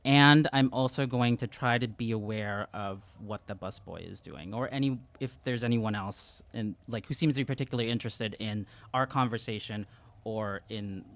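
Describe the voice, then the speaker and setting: A man's voice: on the low side, moderate pace, fairly bright tone. A young man playing a tabletop roleplaying game, casual and hesitant as he thinks aloud, on a close studio mic. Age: young adult. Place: small indoor studio room. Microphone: close studio podcast mic with windscreen.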